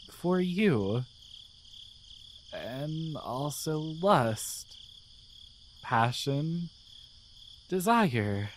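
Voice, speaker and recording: A performer voicing a gentle, devoted guardian angel in a romantic ASMR roleplay, speaking tenderly and hesitantly with earnest pauses. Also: medium pitch, slight background noise. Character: gentle, devoted guardian angel, newly feeling, shyly in love.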